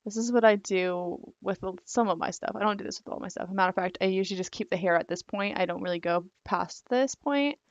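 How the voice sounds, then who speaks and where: A young woman hosting a casual digital hair drawing tutorial, rambling with lots of fillers and apologetic asides, her voice croaky because she is losing it. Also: medium pitch, fast talker, hardly any pauses, no echo, lo-fi sound. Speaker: digital art tutorial host.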